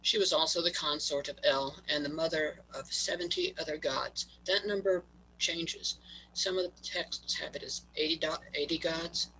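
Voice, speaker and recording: A man giving a prepared talk over a video call, reading haltingly and stumbling on words, his voice worn from allergies. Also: on the high side, steady tone, bright, forceful tone, slight background noise, rough recording. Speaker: guest presenter.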